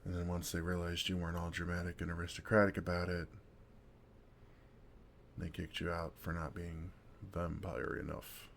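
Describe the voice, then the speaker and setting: A man playing a kind, wry older vampire in an intimate ASMR roleplay, talking in a gentle, casual, dryly sarcastic way. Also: deep voice, little pitch movement, normal speed, gravelly, pauses often, a little hiss or hum. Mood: wry, self-deprecating.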